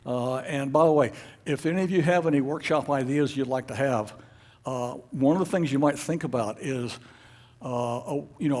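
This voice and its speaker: Male club officer addressing members informally; average pitch, hesitant, meandering delivery full of fillers and false starts. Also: a little mellow. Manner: halting, rambling, frequent uhs and false starts. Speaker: amateur radio club officer presenting at a meeting. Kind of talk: informal meeting presentation.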